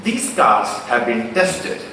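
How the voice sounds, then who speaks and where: An Indian-accented man addresses a crowd at a launch event, his voice bright and forceful. He talks loosely and conversationally, circling back and restarting phrases. The sound is distant and booms around a large reverberant hall, with a faint hum behind it. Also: huge pitch swings, takes it slowly. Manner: conversational, with frequent restarts and fillers. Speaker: company executive presenting a car launch. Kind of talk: unscripted presentation speech. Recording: echoey hall from a distant mic.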